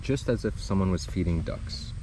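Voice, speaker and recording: American man, deep voice, casual angler explaining to camera, outdoors, clear background noise. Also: lively intonation, moderate pace. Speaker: angler presenting a fishing video. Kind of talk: casual outdoor how-to vlog. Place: outdoors on a rocky riverbank. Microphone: action camera a short distance away. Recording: noisy outdoor camera audio.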